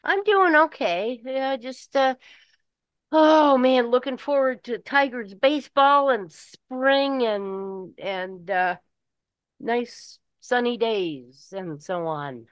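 An older woman with a Midwestern American accent, chatting casually and rambling in a halting way. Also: on the high side, clear, resonant tone, no room sound. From Michigan, United States. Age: 60s or older. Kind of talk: casual chat. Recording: dull lo-fi call audio.

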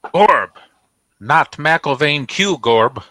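An actor playing a pompous, flustered dealer in an old radio comedy, speaking in florid, formal phrases. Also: male voice, on the high side, animated, extremely slow, pushed and bright, no room sound, heavily acted. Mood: suspicious. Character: pompous, flustered male trophy dealer defending his goods. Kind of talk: 1940s radio comedy acting.

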